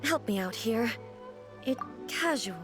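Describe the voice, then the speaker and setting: An actress playing a solemn, dignified female ruler in a video game, speaking formally and deliberately, earnestly puzzled by the modern world. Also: a little high, animated. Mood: puzzled, embarrassed. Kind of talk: video game character dialogue. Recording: music behind the voice. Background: game music.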